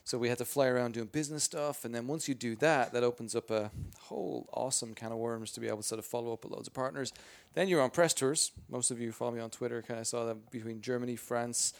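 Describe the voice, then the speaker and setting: Brief: booming male spokesperson, gravelly edge, rambly and upbeat, mid pitch. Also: fast talker, hardly any pauses. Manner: energetic, rambling, many restarts. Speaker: game franchise spokesperson. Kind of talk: casual interview answers.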